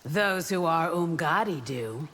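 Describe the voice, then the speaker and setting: A disciplined warrior guardian in a fighting game. Her voice is on the low side, firm and assured, with a long hall reverb around it. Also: lively intonation, slow, a bit bright, heavily acted. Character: confident, disciplined female warrior guardian, coolly challenging. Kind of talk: video game fight banter, dramatic voice acting.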